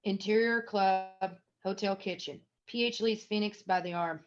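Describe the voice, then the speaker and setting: A woman's voice: medium pitch, steady tone, measured pace, soft background music. Stage-direction narrator for a table read: female, mid-range voice, scripted reading, dull lo-fi sound.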